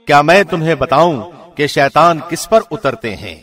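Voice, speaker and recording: A man's voice: fairly high pitch, animated, fairly bright tone, big-room sound. A man reading a scripture translation from a script in a formal radio presenter's style.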